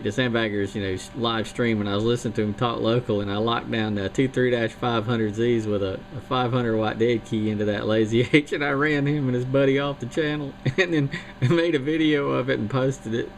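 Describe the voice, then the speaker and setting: A man's voice: mid-range voice, steady tone, fairly quick, hardly any pauses, slight background noise, poor audio. A man who operates a CB radio, chatting in a folksy, rambling way. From North Carolina. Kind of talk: casual radio chat.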